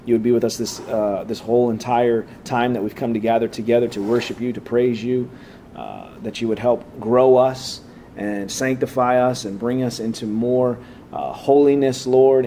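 A man opening a church class with a halting prayer and then teaching, his voice slightly raspy from preaching. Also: fairly low pitch, warm tone, slight background noise. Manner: halting, many "uh" fillers and restarts.

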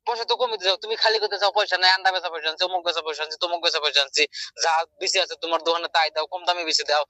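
A man with a high, forceful voice and a rural Bangladeshi dialect argues on the phone as a shopkeeper. He talks extremely fast and sounds exasperated, in a dry recording. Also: no room sound, rough recording. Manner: exasperated, argumentative. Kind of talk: argumentative phone conversation.

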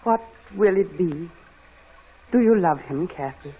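A husky, rather low-voiced servant woman in a 1940s radio play, with a lively rise and fall. She is motherly, loyal and bluntly plain-spoken. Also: a little slow, narrow, tinny audio, heavily acted. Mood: probing. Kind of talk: 1940s radio drama acting.

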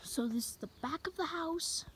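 A woman's voice: extremely high pitch, animated, normal speed. A young girl chattily giving a tour of her home and yard, filming on a handheld phone. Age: child. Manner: chatty, rambling. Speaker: channel host. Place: house and yard, indoors and outdoors. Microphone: handheld phone camera. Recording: noisy phone recording.

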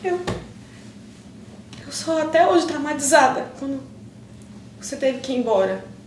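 A young actress with a Brazilian accent playing an emotional woman pleading with a long-lost friend in improvised theatre, speaking haltingly with pauses. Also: high-pitched, animated. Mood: nostalgic, animated. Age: young adult. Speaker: stage actress. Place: indoor theatre stage. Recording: roomy, distant, noisy stage recording.

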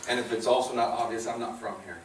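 A man's voice: medium pitch, fairly even intonation, fast talker. Chicago man giving testimony in echoey hall. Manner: casual, plainspoken, earnest, folksy. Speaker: guest speaker sharing testimony. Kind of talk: personal testimony to a church crowd. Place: large hall with stage, indoors. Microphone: handheld or lapel mic, filmed by a far camera. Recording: roomy hall, distant mic, noisy.

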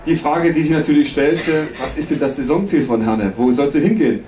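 Male press conference host, thirties, slightly high voice, very roomy sound. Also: brisk, mellow. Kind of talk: hosting a sports press conference. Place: indoor press conference room. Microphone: handheld microphone. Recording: echoey room.

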